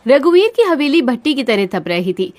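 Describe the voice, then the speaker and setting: A woman's voice: high voice, big pitch swings, normal speed, darkish tone. A woman reading scripted narration for a cartoon story.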